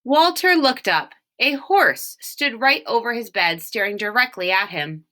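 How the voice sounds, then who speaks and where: A woman reads a storybook aloud for children. Her voice is bright and her pitch moves in lively swings. She takes her time, leaning expressively on key words. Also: unhurried. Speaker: storybook reader, teacher reading for home learning.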